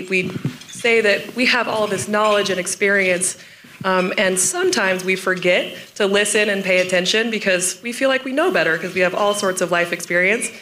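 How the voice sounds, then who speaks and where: A woman moderates a panel with a scratchy, hoarse voice. She talks in a casual, chatty, self-deprecating way. Her pitch sits in the middle range. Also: a bit bright.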